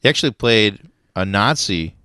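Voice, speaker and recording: A man co-hosting a casual podcast, telling a story in a low, easygoing voice. He laughs often and stops now and then to search for a word. The sound is dry and close. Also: clean tone, no room sound. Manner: relaxed, laughs often, halting with fillers. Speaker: podcast co-host.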